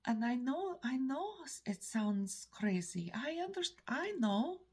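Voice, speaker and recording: A woman with an Eastern European-sounding accent tells a story to camera in a rambling, halting way. Her voice is gravelly and husky, and she speaks very slowly. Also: high-pitched, big pitch swings. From Canada. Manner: rambling, halting, frequent restarts. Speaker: vlogger. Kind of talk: casual storytelling monologue.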